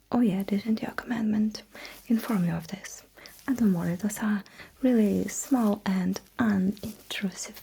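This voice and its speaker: A calm alien technician, acted by a woman, speaks in a very breathy whisper. She is attentive and very slow, guiding the listener step by step in a sci-fi ASMR roleplay. The tone is soft and mellow. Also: medium pitch, exaggerated voice, low-quality recording. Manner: slow, deliberate, instructing.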